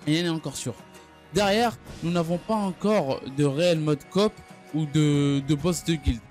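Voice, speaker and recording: A man giving an unscripted gaming news recap, speaking haltingly and often stumbling or repeating his phrases. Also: on the high side, a little mellow. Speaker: gaming video creator. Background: background music.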